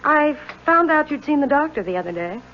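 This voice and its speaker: A woman's voice: high-pitched, big pitch swings, fairly quick. An actress playing a playful, affectionate, watchful wife in a 1970s radio drama, teasing and probing as she talks. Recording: dull, slightly noisy 1970s radio recording.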